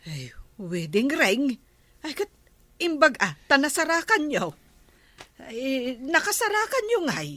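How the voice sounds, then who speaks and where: A woman's voice: high voice, sing-song, slow, a bit bright, dry recording, exaggerated voice. A woman playing a nosy village woman in an Ilocano radio comedy, with an Ilocano accent. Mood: surprised.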